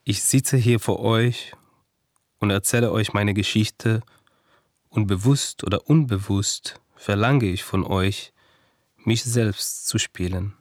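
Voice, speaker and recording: A man's voice: lowish voice, takes it slowly, no echo. A man playing a frightened, self-doubting cancer survivor, speaking an earnest, introspective confessional monologue in a radio play. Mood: reflective. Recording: dry studio with faint music.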